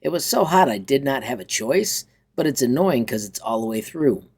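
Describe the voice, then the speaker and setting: A man's voice: medium pitch, expressive, normal speed, a little mellow, slightly rough. Chatty, enthusiastic male tutorial host. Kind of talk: informal software walkthrough with upbeat sign-off.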